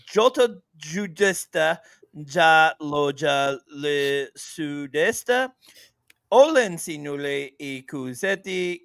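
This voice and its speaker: A man's voice: fairly high pitch, bright, forceful tone, clean tone, dry recording, studio quality. A man hosting a casual sports podcast who jokes, laughs a lot and swears now and then. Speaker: podcast host.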